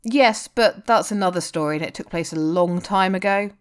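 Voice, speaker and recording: A woman's voice: on the low side, moderate pace, clear, resonant tone, lightly acted. A woman voicing a blunt, teasing but caring male rock singer in story dialogue. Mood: reassuring. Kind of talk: audiobook-style character dialogue.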